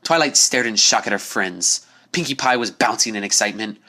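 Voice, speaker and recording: A man's voice: lowish voice, fairly quick, sharp tone. A man reading a scripted story aloud as narrator, in a dramatic storytelling style.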